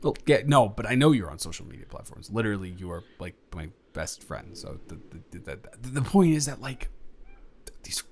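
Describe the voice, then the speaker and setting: A man playing an excitable, incredulous young influencer friend in an improvised audio roleplay, rambling emphatically to one listener. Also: fairly low pitch, faint music behind, pitched below the performer's own voice. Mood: hushed amazement. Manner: rambling, emphatic, halting, with dropped asides.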